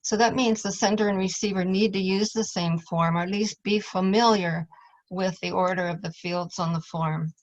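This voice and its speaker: A woman's voice: lowish voice, fairly even intonation, moderate pace. A woman presenting class material as an instructor, explaining it step by step in an informal way. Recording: dull, lo-fi audio.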